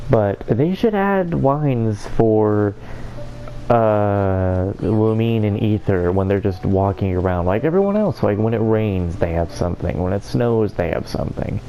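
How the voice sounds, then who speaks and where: A man's voice: fairly low pitch, dark tone, a little hiss or hum. A man giving casual let's-play commentary, slangy and full of joking riffs. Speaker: gaming video host. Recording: dull lo-fi mic, game music behind.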